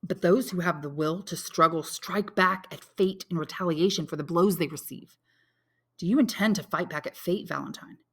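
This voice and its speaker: An honorable, lovelorn young man from a classic novel read by a woman, earnest and intense. The voice sits fairly low with a creaky edge, solemn and grimly resolute. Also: moderate pace, fairly bright tone. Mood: urgent, challenging. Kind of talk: dramatic audiobook character reading.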